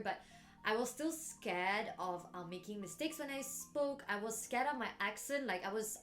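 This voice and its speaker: A young woman from Malaysia talks to her camera in a casual, upbeat vlog. Her voice sits at an ordinary middle pitch and she sprinkles in "like" as she chats. Soft music plays faintly underneath. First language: not English or French. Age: 20s. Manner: chatty, upbeat, frequent "like" fillers. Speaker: YouTuber.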